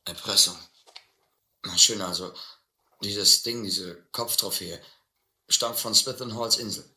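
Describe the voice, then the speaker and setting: An actor playing a desperate, educated drifter who drinks hard, rambling and pleading in halting bursts in a radio adventure play. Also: male voice, fairly low pitch, bright, forceful tone, roomy sound, heavily acted. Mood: agitated. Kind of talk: German audio adventure drama.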